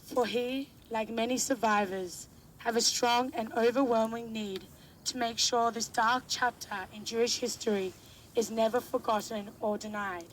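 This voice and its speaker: A woman's voice: a little high, steady tone, normal speed, a little hiss or hum, rough recording. A woman giving a sincere personal reflection. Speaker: participant on a memorial trip.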